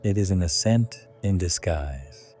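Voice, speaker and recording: A man narrating a scripted guided sleep meditation in a hushed, deliberate way, in short phrases. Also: low-pitched, lively intonation, faint music behind.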